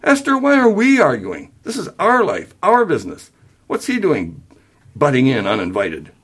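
A man's voice: medium pitch, very animated, moderate pace, fairly bright tone, husky, heavily acted. A man reading a story aloud, voicing a stubborn older father who is easily rattled and speaks in an emphatic bluster. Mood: indignant. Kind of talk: acted dialogue in a story reading.

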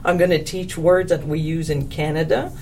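A woman with a Quebec French accent, a French teacher speaking as a guest in a casual interview, animated and quick to repeat her words. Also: low voice, recorded from a distance, a little hiss or hum.

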